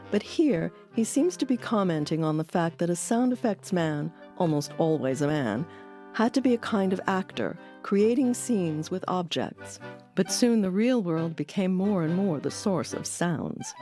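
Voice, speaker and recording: A woman hosting an audio documentary, reading scripted narration in a measured, explanatory way. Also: fairly low pitch, very animated. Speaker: documentary host. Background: music under the voice.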